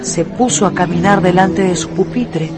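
A woman narrating a dramatised radio story in an expressive, deliberate, emphatic way. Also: on the low side, over loud music.

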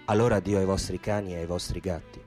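An actor playing a wry, earthy Roman storyteller in a theatrical radio piece, with a Roman accent. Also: male voice, low-pitched, fairly even intonation, quick pace, darkish tone, faint music behind, natural voice. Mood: solemn declaiming.

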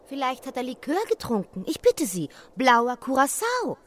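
A woman's voice: high voice, big pitch swings, moderate pace. An actress playing a worldly, teasing society lady in a radio play, with an airy manner.

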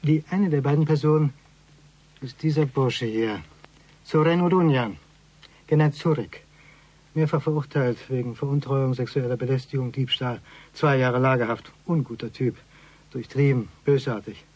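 A man's voice: medium pitch, normal speed, a little mellow, natural voice. A man playing an eccentric ex-convict surveillance agent in a radio crime drama, speaking in stilted, flowery phrases with pompous courtesy. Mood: crisp reporting. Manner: stilted, flowery speech with misused learned words.

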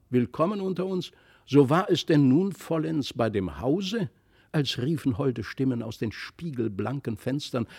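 Man, medium pitch, audiobook narrator, scripted fairy-tale reading, expressive, careful phrasing. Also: gravelly.

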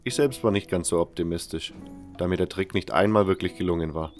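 A man reading scripted first-person narration for a fan fantasy audio drama in a measured, sober storytelling manner. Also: low voice, faint music behind.

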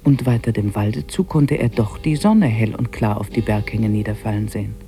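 An actor narrating an old village tale in a measured, literary manner for a 1950s German radio drama. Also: male voice, lowish voice, warm tone, faint music behind, lightly acted. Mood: measured, descriptive.

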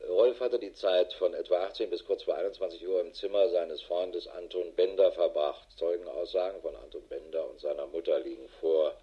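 An actor playing a harried, middle-aged police investigator in a radio drama, matter-of-fact and dry. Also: male voice, low voice, steady tone, moderate pace, few pauses, slightly rough, lo-fi sound. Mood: sober, dictating. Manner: matter-of-fact, dry, clipped official phrasing. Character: harried, world-weary male police investigator with a wife. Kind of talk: German radio drama acting, dictated report.

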